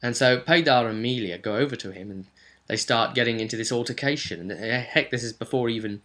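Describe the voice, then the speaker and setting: A man casually recapping a tabletop role-playing session as game master, rambling along in a chatty, halting way. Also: medium pitch, fairly bright tone. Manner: chatty, halting, acts out short bits of dialogue.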